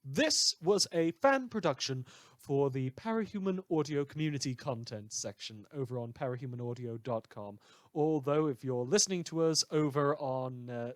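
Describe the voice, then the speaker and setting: A man's voice: medium pitch, dry recording. A man hosting a podcast, informally reading out credits and thanks in a chatty, self-deprecating way. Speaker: podcast host and writer. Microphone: voice recorder.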